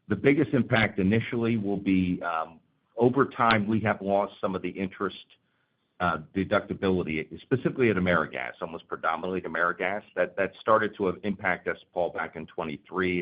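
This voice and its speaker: A man speaking as a finance executive, explaining things point by point and off the cuff to investors on a conference call. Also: deep voice. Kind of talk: unscripted investor Q&A answers. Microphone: conference phone call. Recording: narrow tinny phone line.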